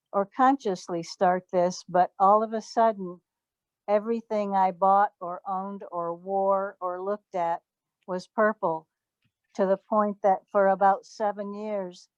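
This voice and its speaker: A woman's voice: medium pitch, dry recording. A woman in her seventies speaking thoughtfully in a community group discussion, with frequent hesitations. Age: 70s. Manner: slow, even, thoughtful, with hesitations. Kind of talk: casual group sharing. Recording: dull dry lo-fi audio.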